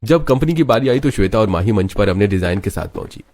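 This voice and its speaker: Male narrator for animated family melodrama, scripted storytelling, lowish pitch. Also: fast talker.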